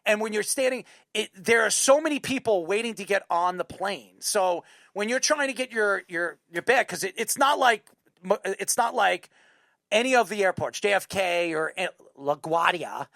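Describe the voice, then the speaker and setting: A man telling stories in casual chat as a talk show host, excitable, repeating himself and swearing now and then. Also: sits high, moderate pace, gravelly, no room sound, clean studio sound. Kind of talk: casual chat, animated storytelling.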